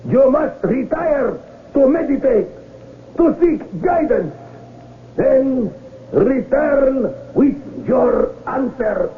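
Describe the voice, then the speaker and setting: A ceremonious military colonel with a strikingly high voice and slow, stately delivery. Every phrase is formal and solemn, in old radio drama fashion. The sound is thin and tinny with faint noise. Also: male voice, expressive, full character voice. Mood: solemn, ceremonial. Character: solemn, ceremonious colonel presiding over a coronation. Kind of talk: 1970s radio drama acting.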